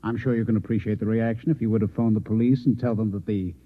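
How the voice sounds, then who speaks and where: A man playing a coolly menacing Martian infiltrator posing as a newspaper man in an old-time radio drama, measured, formal and insinuating. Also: fairly low pitch, fairly even intonation, natural voice. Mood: controlled, probing. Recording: echoey, phone-like old radio drama recording.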